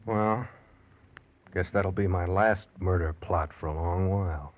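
A man's voice: low voice, unhurried. A man with an American accent playing a bitter, desperate murder plotter in the style of 1940s radio drama. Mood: defeated. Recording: muffled vintage 1940s radio recording.

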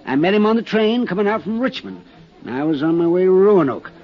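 A man's voice: high-pitched, very animated, brisk. An American actor playing a tipsy, over-friendly traveling salesman who rambles and repeats himself in a 1950s radio drama. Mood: rambling, confiding. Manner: chuckling, repetitive, hesitant, over-friendly. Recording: muffled 1950s radio recording, faint music.

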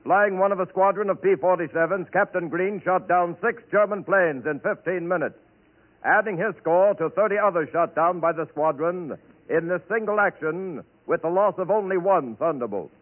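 An American man announces a scripted tribute in 1940s radio style. His voice is high for a man, and the sound is thin and tinny. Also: normal speed. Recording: tinny old radio broadcast.

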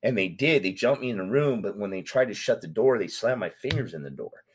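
A man recounting his past in casual street slang, animated and talkative. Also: lowish voice, brisk, slight background noise. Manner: street slang, animated retelling with acted quotes. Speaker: guest.

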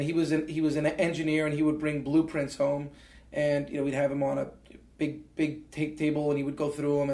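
A man's voice: fairly high pitch, warm tone. A Canadian man in his forties, an interview guest telling personal stories in an earnest, reflective way, with many fillers and restarts. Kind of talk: reflective storytelling. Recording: roomy echo.

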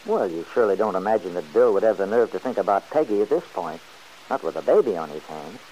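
Low-voiced folksy grumbler, old radio. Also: male voice, expressive, fast, a little mellow, rough recording. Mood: skeptical. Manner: folksy, grumbling, plain-spoken. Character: stubborn, folksy, good-hearted older male friend. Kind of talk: old-time radio serial acting. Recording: hissy old radio recording.

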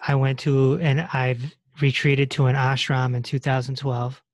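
A woman hosting a podcast, interviewing a guest in a relaxed, affirming way and sharing her own stories. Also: extremely low pitch, unhurried, dry recording, clean studio sound. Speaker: podcast host. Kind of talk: conversational interview.

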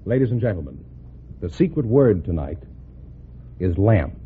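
A man's voice: sits low, big pitch swings, moderate pace, slight background noise. A man with an American accent announcing a mid-century radio show in formal showman style. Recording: old radio broadcast, narrow band, music behind.

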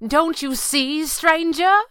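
A power-mad man, played by a woman, gloats in grandiose, slowly stretched phrases. He is the villain of a pulp adventure, claiming an army as his own. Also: sits high, fairly even intonation, pushed and bright, dry recording, clean studio sound, pitched above the performer's own voice, heavily acted. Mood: exultant. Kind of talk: dramatized pulp adventure audiobook.

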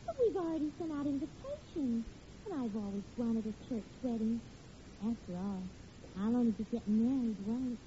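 An actress playing an eager, coy young American bride-to-be in 1940s radio comedy style, cooing and insistent. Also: female voice, fairly high pitch, lively intonation, moderate pace, dark tone, natural voice. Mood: excited. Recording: muffled, noisy old radio recording.

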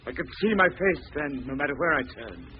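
Casting: unhinged disfigured killer, high and volatile, theatrical old-time radio menace on noisy vintage audio. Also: male voice, very animated, fairly quick, highs cut off. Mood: sinister gloating. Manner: theatrical, menacing, swinging between hushed and shrieking. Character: deranged, disfigured murderer, gloating yet self-loathing man. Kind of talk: 1940s radio acting.

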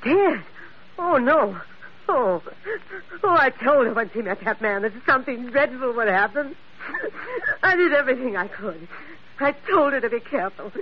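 A woman's voice: a little high, animated, normal speed, long pauses, slight background noise, close to an everyday voice. An actress playing a scheming businesswoman in an old-time radio drama, cajoling with honeyed, motherly endearments and feigned innocence. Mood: shocked, protesting. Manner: gushing, cajoling, uses endearments. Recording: muffled vintage radio recording.

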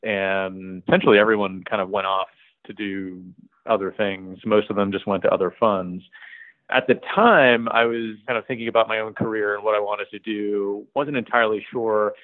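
A man being interviewed as a company's CEO, answering at a measured pace with lots of "sort of" fillers. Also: lowish voice, steady tone, no room sound. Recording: tinny phone-like line.

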